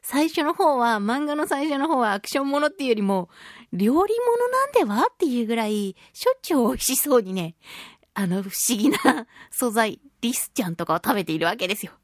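A woman's voice: on the high side, very animated, fairly quick, no room sound, studio quality. A woman hosting a radio talk show alone, chatting informally and thinking aloud in a giggly manner. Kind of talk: casual chatty radio monologue.

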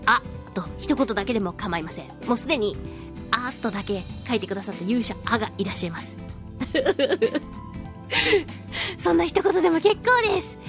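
A woman hosting a solo radio talk show, reading listener mail in a playful, giggly, animated manner. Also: high-pitched. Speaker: radio host, voice actress.

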